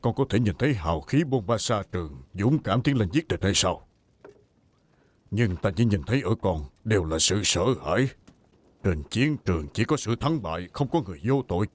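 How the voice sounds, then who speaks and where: A man's voice: fairly low pitch, expressive, normal speed. A man dubbing a proud, stern veteran warlord in a historical war drama, grave and admonishing. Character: stern, proud veteran male warlord mentoring his heir. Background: music.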